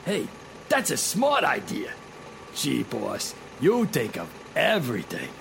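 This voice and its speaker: A man's voice: mid-range voice, wildly swooping pitch, slow pace, full character voice. An actor playing a dim, eager, deferential henchman with slangy talk, in 1940s radio drama style. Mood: admiring. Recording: clear car engine noise.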